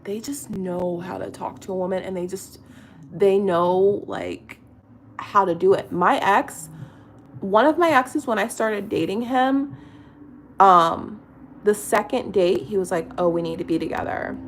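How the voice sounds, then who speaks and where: A middle-aged woman talking at an ordinary middle pitch in a relaxed, confiding vlog chat. She rambles, restarts her sentences and leans on filler words. A faint room hum sits behind her. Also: slow pace. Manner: chatty, confiding, lots of fillers and self-restarts. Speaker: vlogger. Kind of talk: casual get-ready-with-me chat.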